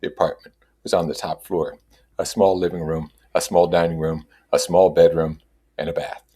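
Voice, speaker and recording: A man's voice: low voice, flat delivery, normal speed, dark tone, breathy edge. A man reading a novel aloud as narrator, adding his own comments. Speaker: reader and commentator.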